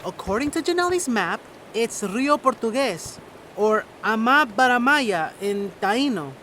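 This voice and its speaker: High-voiced, unhurried playful boy. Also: expressive, a bit bright, a little hiss or hum. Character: playful young boy twin adventurer. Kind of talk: audio drama acting.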